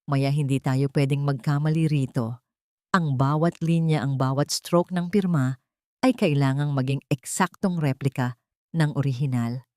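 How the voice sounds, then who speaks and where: Woman doing a tense male conspirator in a story, urgent n hushed, tired but determined, dry recording. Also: rumbling low, fairly even intonation, moderate pace, no room sound. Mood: urgent, secretive. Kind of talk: storytelling character dialogue.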